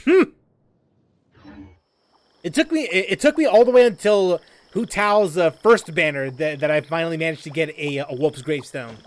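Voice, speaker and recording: A man's voice: a little high, moderate pace. A man casually chatting while streaming a game, rambling with lots of fillers and restarts as he reads chat aloud.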